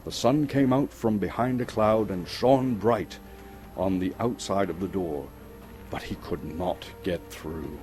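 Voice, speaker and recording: A man from Canada giving a dramatic, suspenseful storybook narration with theatrical, deliberate phrasing. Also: deep voice, a little mellow. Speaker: actor reading a story aloud. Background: music.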